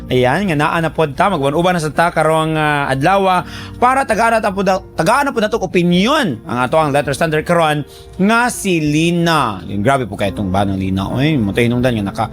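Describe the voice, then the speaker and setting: Chatty high-pitched male radio host. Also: a little fast, a bit bright, soft background music. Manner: chatty, informal, exclamatory. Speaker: radio host giving advice on listener letters. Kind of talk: casual radio chat.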